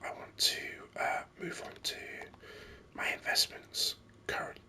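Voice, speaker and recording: A man whispers his way through video game commentary in ASMR style. The whisper is breathy and very bright, with sharp, crisp edges. He rambles on unhurriedly, close to the mic. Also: measured pace. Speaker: gaming video host.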